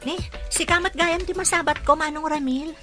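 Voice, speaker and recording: A woman's voice: high-pitched, steady tone, moderate pace, over music, lightly acted. A woman playing a dutiful, kind young daughter in a radio-style drama. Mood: friendly, teasing.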